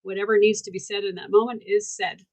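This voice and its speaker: A woman's voice: medium pitch, level tone, fast talker. A woman hosting a casual online group call, talking in an affirming, spiritual way over a video call mic. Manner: warm, affirming, spiritual wording. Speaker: community call host.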